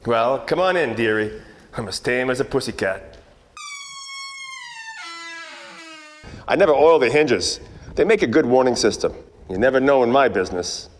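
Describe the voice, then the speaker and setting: Noir parody detective, male actor: mid-pitched, wisecracking, jaded, lively intonation, recorded with long room reverb. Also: a little fast, a little hiss or hum, lightly acted. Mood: wry. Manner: wry, world-weary. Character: wisecracking hard-boiled 1940s male private detective. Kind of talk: 1940s radio noir parody, narration and dialogue. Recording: echoey stage or hall reverb.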